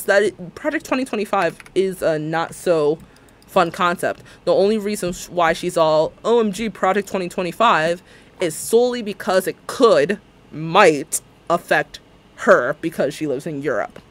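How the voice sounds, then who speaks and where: A man in his twenties talks on stream in a slightly higher register. He vents at length, dropping into mocking impressions of what others said. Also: normal speed. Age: 20s. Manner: ranting, animated, sarcastic, mimics others' words. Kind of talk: casual livestream chat while building in a game.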